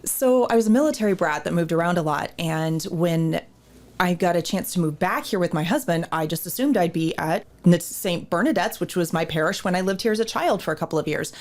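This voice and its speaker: Lively woman telling a story as a guest. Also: fairly low pitch, a little fast. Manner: lively storyteller, acts out her own past remarks. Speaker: theater ministry director, guest. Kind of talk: casual interview storytelling.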